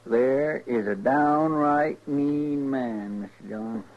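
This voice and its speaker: A man's voice: medium pitch, slow. A man playing a folksy, plain-hearted lawman's sidekick in a radio western, grumbling in a homespun rural Western drawl. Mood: disapproving. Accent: rural American Western drawl. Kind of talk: old-time radio western drama acting. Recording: noisy, muffled old radio recording.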